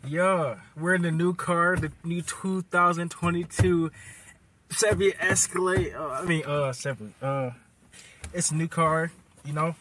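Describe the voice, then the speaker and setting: A man's voice: on the high side, fairly even intonation, a little slow, fairly bright tone, slight background noise. An eighteen-year-old man hosting a casual vlog from inside a car, joking and teasing in a laid-back way, filmed on a phone held at arm's length. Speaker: vlogger. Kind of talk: casual chat. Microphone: phone camera at arm's length.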